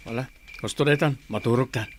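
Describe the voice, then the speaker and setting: A man's voice: medium pitch, fairly quick, slight background noise, natural voice. A man acting a weary working husband and father with a Filipino accent in a radio drama, plaintive and long-suffering. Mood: weary, pleading.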